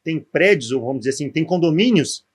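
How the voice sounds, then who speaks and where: A gaúcho man in his forties, a former athlete, recounts his upbringing in a podcast interview. His voice sits a bit high for a man. He keeps checking in with tag questions as he tells the story. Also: animated, fast, breathy edge. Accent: gaúcho Portuguese. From southern Brazil. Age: 40s. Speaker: guest, former pro footballer.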